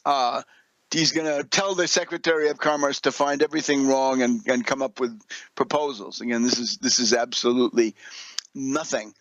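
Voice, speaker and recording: A man giving unscripted political commentary as a radio host, combative and sarcastic, halting and correcting himself, often firing off rhetorical questions. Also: medium pitch, steady tone, a bit bright, dry recording, rough recording.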